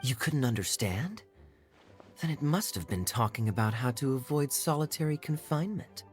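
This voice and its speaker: An actor playing a suave, sly knight in a video game, a man with a smooth, wry, theatrical delivery over music. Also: mid-range voice, animated, moderate pace, lightly acted. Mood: wry, teasing.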